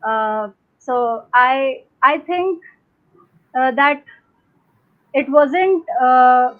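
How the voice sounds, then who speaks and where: Woman, young adult, from India, Hindi first language, high voice, hesitant, rambling, many fillers, tinny phone-like line. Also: extremely slow. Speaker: panel guest. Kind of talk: unscripted conversational answers.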